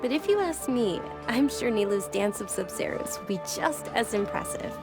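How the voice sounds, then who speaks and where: A fairly high, gentle young noblewoman's voice, over prominent music. She speaks politely and sincerely, like an eager guide in a video game. Her cheer is soft and well-mannered. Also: animated, normal speed. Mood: wistful.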